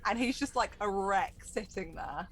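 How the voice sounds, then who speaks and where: A woman's voice: mid-range voice, lively intonation, moderate pace, fairly bright tone. A woman running a tabletop role-playing game, improvising narration and explaining rules in a conversational way. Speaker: game master. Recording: background music under the voice.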